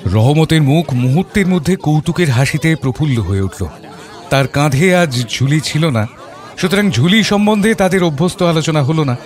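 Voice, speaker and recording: A man narrating an audio story as a thoughtful father, in a measured, literary storytelling manner. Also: fairly high pitch, lively intonation, lightly acted. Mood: reflective. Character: thoughtful middle-class father telling his story.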